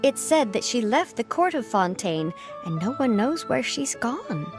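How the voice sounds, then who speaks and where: Earnest, worried young game lady: high, lively pitch, emphatic and talkative. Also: unhurried, over music. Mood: grave, confiding. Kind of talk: video game voice acting.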